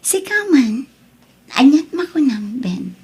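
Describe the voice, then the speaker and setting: A woman playing an affectionate, gentle schoolteacher in an audio drama, tender and coaxing, with a Filipino accent and a husky voice. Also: sits high, sing-song, takes it slowly, heavily acted. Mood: coaxing, loving.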